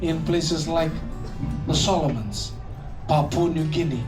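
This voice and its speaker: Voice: mid-range voice, a little slow. A presenter from Fiji, joking with a live audience and then telling a story on stage. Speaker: cultural show presenter. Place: live show venue with audience. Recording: distant voice with music behind.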